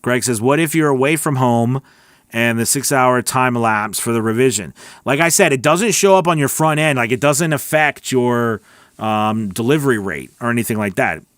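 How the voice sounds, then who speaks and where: A man's voice: mid-range voice, animated, normal speed, a bit bright, dry recording. Male voice-over coach on a livestream, mid-range voice, dry close sound, chatty and encouraging. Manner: chatty and encouraging, thinks aloud with many restarts. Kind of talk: live Q&A, reading viewer comments and answering.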